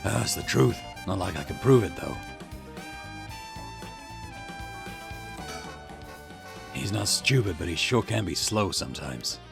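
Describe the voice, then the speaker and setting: Man playing a veteran cop, gruff and weary, very low voice, gravelly, curt, visual novel dialogue, music underneath. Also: sing-song, brisk, full character voice. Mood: guarded, serious. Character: gruff, impatient veteran police detective, older man. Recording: music behind the voice.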